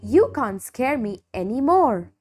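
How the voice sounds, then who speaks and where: A woman's voice: on the high side, very animated, slow pace, darkish tone, voice pushed up, exaggerated voice. A woman voicing an indignant dinosaur who teases back, with emphatic sing-song delivery in a children's storybook. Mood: mocking. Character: teased dinosaur friends, indignant then mocking. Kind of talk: children's storybook read-aloud.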